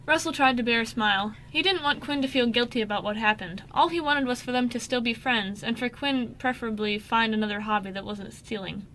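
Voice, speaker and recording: Lady narrator for amateur radio play, bright highish voice, slight background noise. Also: fairly quick, hardly any pauses, poor audio.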